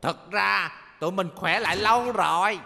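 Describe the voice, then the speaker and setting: A man's voice: sits high, moderate pace, creaky, noisy background. A man voicing a timid, jumpy talking vehicle in a Vietnamese cartoon dub, hesitant and full of exclamations. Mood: sheepish.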